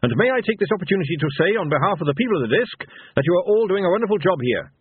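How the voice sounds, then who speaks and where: A man's voice: sits high, big pitch swings, racing, breathy edge, dry recording, narrow, tinny audio, pitched above the performer's own voice, full character voice. A man voicing a young watch captain who is formal, courteous, earnest and unflappable in a fantasy audiobook. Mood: earnest, firm.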